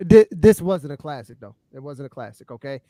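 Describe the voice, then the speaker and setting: A man's voice: fairly high pitch, animated, normal speed, dark tone, no room sound, studio quality. A man co-hosting a podcast, casually debating hip-hop in an emphatic, explanatory way and checking in with short tag questions. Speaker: podcast co-host.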